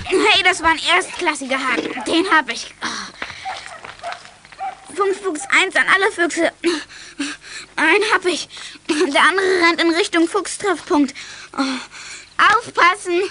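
A plucky boy from a detective gang, prone to grumbling. The voice is high, and clear noise runs through this children's audio drama. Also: unhurried, pauses often. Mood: excited.

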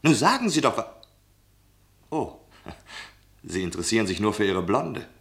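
Deep-voiced, flirtatious tavern guest. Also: male voice, big pitch swings, unhurried, vocal fry, fairly bright tone, full character voice. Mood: teasing, chatty. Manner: chatty, teasing. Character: jovial, flirtatious, mocking male tavern guest. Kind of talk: mid-century German radio play acting.

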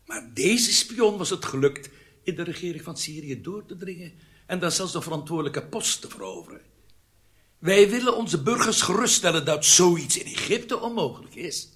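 An actor playing a menacing Egyptian intelligence interrogator in a radio drama, commanding and deliberate. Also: male voice, high voice, fairly bright tone. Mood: formal, insistent.

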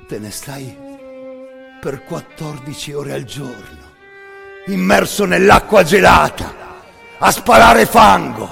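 A man with a gravelly voice performing an intense, incantatory scripted monologue in the second person, repeating phrases between long pauses. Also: fairly high pitch, animated, sharp tone, raised voice. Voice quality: gravelly, creaky. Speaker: actor and storyteller of a solo radio theatre monologue. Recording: music bed under the voice. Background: music.

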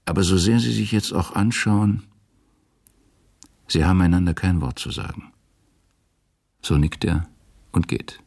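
A male narrator reads literary prose for a radio drama. His voice is extremely deep, with a creaky edge and a husky grain. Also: unhurried, long pauses, a little mellow.

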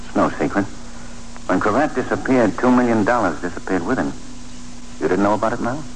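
An actor playing a shrewd, folksy police detective with wry, slangy talk in a 1940s radio drama. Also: male voice, lowish voice, fairly quick, lightly acted. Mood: wry, knowing. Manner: slangy, wry, clipped phrasing. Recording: humming, noisy 1940s radio recording.

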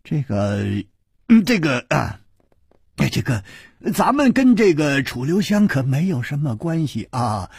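Man doing a shrill stammering escort chief. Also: animated, normal speed, pushed and bright, dry recording, clean studio sound, exaggerated voice. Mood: panicked. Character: panicky blustering male escort chief.

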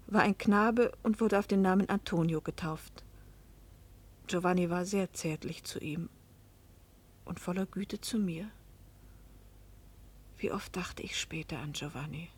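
A passionate young wife in a radio drama. Her husky, breathy voice is intimate and confessional, heavy with remorse. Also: mid-range voice, steady tone, measured pace, pauses often, a little mellow. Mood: sorrowful, reflective. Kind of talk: German radio play acting and first-person narration.

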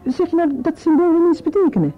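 An actress playing a frank, quick-witted young activist woman who talks in a direct, teasing way in a radio drama. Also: very high voice, lively intonation, muffled recording, soft background music. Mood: challenging. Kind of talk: naturalistic Dutch radio drama dialogue.

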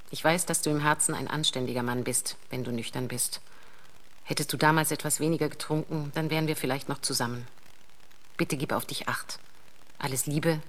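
Caring wistful mom reading her letter out loud, low soft-mannered voice, audio drama. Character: caring, wistful mother writing to her estranged husband.

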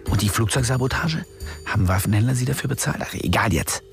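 A man's voice: medium pitch, fast talker, vocal fry, faint music behind, natural voice. An actor playing a tense assassin muttering to himself while he waits. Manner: muttering, inner monologue.

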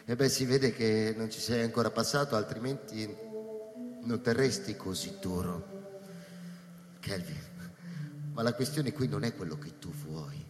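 An actor playing a cynical, weary space-station scientist in a radio drama, speaking sardonically and haltingly with dry pauses. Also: male voice, lowish voice, natural voice. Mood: provoking, resigned. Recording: reverberant hall with music behind.

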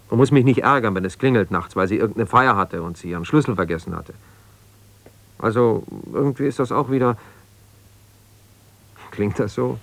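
Stubborn, ambitious lawyer and abandoned husband: low voice, dry close sound, insistent, naturalistic radio play. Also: male voice, gravelly, fairly bright tone, no room sound. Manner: argumentative, insistent, hesitating when conceding. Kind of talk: naturalistic radio drama monologue on the telephone.

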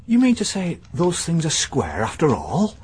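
An actor playing a wary, deferential manservant in a radio drama, gloomy and respectful. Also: male voice, a little high, huge pitch swings, moderate pace, darkish tone, slight background noise. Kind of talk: 1980s BBC radio drama acting.

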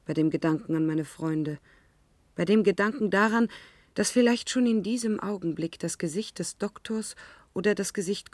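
An actress as a desperate young woman telling her own story in a science-fiction radio play. She speaks deliberately and dramatically at a medium pitch, with a lonely, anguished undertone. The studio sound is close and dry. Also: no room sound, close to an everyday voice. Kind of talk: German radio drama, first-person narration.

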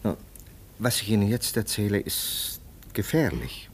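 A man acting a scornful, self-assured police lieutenant in a German radio play, with a husky, emphatic, sardonic delivery. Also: lowish voice, big pitch swings, measured pace, a little hiss or hum. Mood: confiding.

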